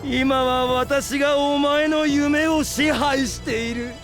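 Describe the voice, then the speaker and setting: A man's voice: very high-pitched, little pitch movement, slow, a bit bright, faint music behind, exaggerated voice. An actor playing a gloating, megalomaniac scheming villain in an audio drama, theatrical and condescending, drawing out his taunts. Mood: triumphant, mocking. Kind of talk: Japanese audio drama acting.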